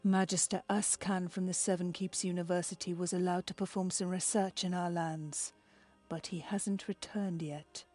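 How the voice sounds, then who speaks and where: A woman's voice: lowish voice, fairly even intonation, moderate pace, darkish tone, soft background music, lightly acted. A woman playing a regal, dignified queen who is concerned for her embattled people, in dramatic video game style. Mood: composed, concerned.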